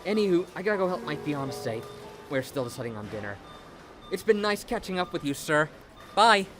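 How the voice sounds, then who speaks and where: A man's voice: a little high, sing-song, a little fast, fairly bright tone, faint music behind. A man playing a shy, earnest young former student, full of gratitude, in a dramatic audio story reading. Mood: emotional.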